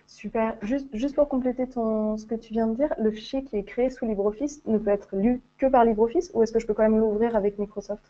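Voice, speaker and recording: A woman moderating a webinar, asking the guest brisk questions. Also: on the high side, steady tone, dark tone, dull audio.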